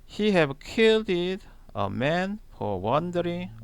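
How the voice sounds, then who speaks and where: Very slow, halting male Bible reader. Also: high voice, very animated, gravelly, smooth, dry recording. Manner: halting, phrase by phrase with long pauses. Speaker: Bible reader, channel host. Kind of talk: slow read-aloud of scripture.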